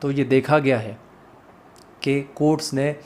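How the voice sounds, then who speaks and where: A man with a Pakistani accent teaches in an explanatory, question-and-answer style. His voice sits at a medium pitch, and he drops English terms into his sentences. A light layer of ambient noise runs behind him. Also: fairly even intonation, a little slow, darkish tone. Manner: code-switches between Urdu and English terms. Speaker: law lecturer.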